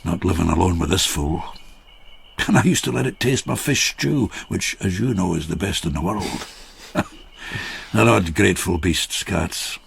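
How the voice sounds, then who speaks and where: An actor playing a widowed peasant farmer, boisterous and fond of drink, who rambles jovially and turns reflective in a radio drama. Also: male voice, low-pitched, lively intonation, unhurried, gravelly, slight background noise, exaggerated voice. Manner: jovial, rambling, slightly tipsy. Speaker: Takeshi, a farmer friend.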